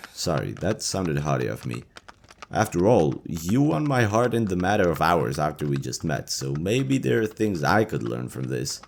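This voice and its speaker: A man's voice: sits low, big pitch swings, moderate pace, vocal fry, slight background noise, full character voice. A man playing a young elven leader and playful, affectionate boyfriend in a romantic audio roleplay, talking in a casual, teasing way. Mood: earnest, soft.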